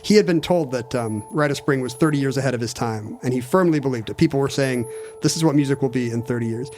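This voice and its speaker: A man co-hosting a podcast, recounting stories in a chatty way with quick wisecracks. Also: mid-range voice, a little mellow, faint music behind. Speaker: podcast co-host. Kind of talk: casual chat, storytelling.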